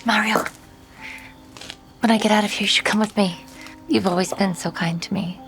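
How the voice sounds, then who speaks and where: An actress playing a kind, plucky young noblewoman in an audio drama, soft and earnest. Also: medium pitch, fairly even intonation, normal speed, faint music behind, natural voice, rough recording. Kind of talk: audio drama character acting.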